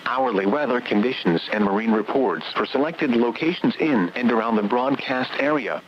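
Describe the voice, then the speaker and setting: A man's synthetic voice reading a scripted automated weather bulletin in a measured, even delivery over the radio. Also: mid-range voice. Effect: radio broadcast. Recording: dull, noisy weather radio broadcast.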